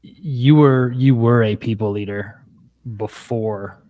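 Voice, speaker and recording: A man hosting an interview, asking questions gently and hesitantly, often repeating words. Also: medium pitch, slow pace. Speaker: interview host. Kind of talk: interview conversation.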